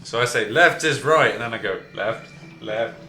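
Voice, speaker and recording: A man's voice: medium pitch, normal speed, sharp tone. A man in his twenties playing a tabletop game with casual, jokey banter. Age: 20s. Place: domestic dining room. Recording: roomy home recording, faint noise.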